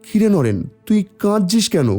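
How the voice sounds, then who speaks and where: Humble poor villager, kindly father, mellow voice, earnest and pleading, cartoon story dialogue, music behind. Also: sits high, very animated, moderate pace. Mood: friendly concern. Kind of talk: animated Bengali moral story dialogue.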